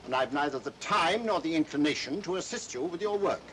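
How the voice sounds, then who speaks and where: A man's voice: a little high, expressive, brisk, a bit bright. An actor playing an aloof, impatient male professor, curt and formal, in an old mystery film. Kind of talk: 1940s mystery film acting. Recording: hissy old film soundtrack.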